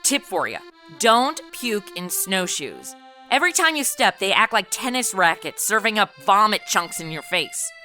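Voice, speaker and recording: A woman's voice: medium pitch, big pitch swings, moderate pace, bright, forceful tone, faint music behind. A woman with an American accent playing a brash, boozy modern party girl, bantering and narrating in a comedy audio drama. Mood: wry storytelling. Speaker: lead of the show.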